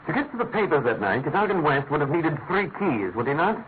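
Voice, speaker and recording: An actor playing a brisk, authoritative British private detective with a clipped, questioning manner in a classic radio drama. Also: male voice, fairly high pitch, big pitch swings. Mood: incisive questioning. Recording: noisy, narrow-band old radio recording.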